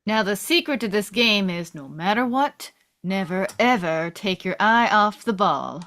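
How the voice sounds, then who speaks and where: A woman's voice: medium pitch, lively intonation, measured pace, fairly bright tone. A woman playing a brash, bossy wounded American soldier man in a table read, with a blunt, commanding manner. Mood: coaching.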